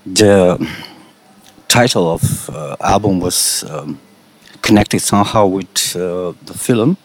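A man interviewing a guest for a radio station, speaking English as a second language after an intro in his native Slovenian, asking his questions haltingly. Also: low-pitched.

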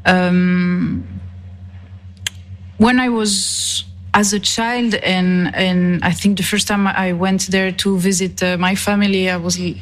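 Halting, slow-speaking woman from Switzerland. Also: on the low side, fairly even intonation. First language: not English. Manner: halting, repeats and rephrases as she searches for words. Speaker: interview guest, musician. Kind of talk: reflective conversational interview.

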